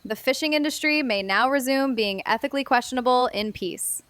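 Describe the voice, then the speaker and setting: A woman's voice: high voice, a little fast, fairly bright tone, dry recording. A woman playing a brash, cocky young dog owner who boasts and wisecracks in an audio drama. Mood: cocky, teasing. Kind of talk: scripted fiction podcast acting.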